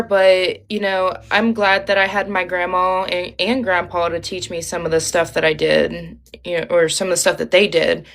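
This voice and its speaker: A young woman with a Midwestern Ohio accent, chatting casually and rambling as she hosts a livestream, with lots of ums and likes. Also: lowish voice. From Ohio, USA. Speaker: livestream host.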